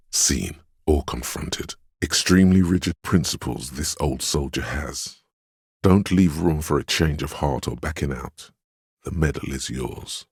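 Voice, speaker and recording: Very deep husky male voice, steadfast inner willpower, solemn, measured, protective, video game drama. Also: a little mellow, no echo, studio quality, exaggerated voice. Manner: measured, solemn, admonishing, urging composure.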